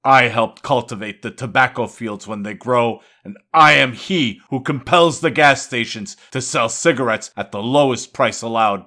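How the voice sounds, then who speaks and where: A man's voice: medium pitch, bright, forceful tone, close to an everyday voice. A man voicing a boastful, defiant god of wine and excess in a grandiose, insistent poetry recital.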